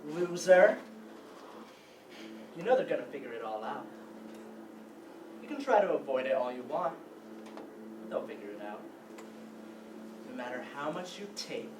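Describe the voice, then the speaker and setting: An actor playing a cruel, taunting tormentor in a staged play reading. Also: male voice, on the high side. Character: taunting, cruel bully, jeering tormentor in the mind. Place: meeting room staged reading, distant camera. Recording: echoey room from far off, clear noise.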